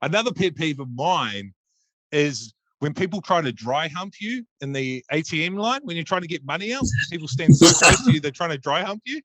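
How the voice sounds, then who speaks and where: A man's voice: on the high side, sing-song, moderate pace, a bit bright, no room sound, rough recording. A man in his thirties hosting a casual podcast, joking crudely and facetiously. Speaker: podcast host.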